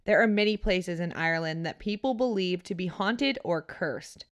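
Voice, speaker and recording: A woman hosting a paranormal podcast, narrating in a soft, hushed storytelling voice and sounding stuffy from a sore throat. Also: lowish voice, moderate pace.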